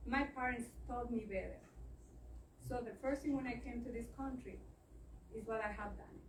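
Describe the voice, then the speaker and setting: A middle-aged woman gives an earnest, plain-spoken talk at a meeting. Her voice sits a little high, and it reaches the mic from some distance across an echoey room with faint noise behind. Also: moderate pace. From Ecuador. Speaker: guest speaker. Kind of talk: public speech at a meeting.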